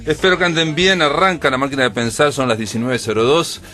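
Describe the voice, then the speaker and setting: A man's voice: medium pitch, fast talker, pushed and bright. Uruguayan man, radio host, chatty and friendly, mid-range voice, studio. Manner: chatty and friendly, with many fillers and backchannels. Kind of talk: radio interview.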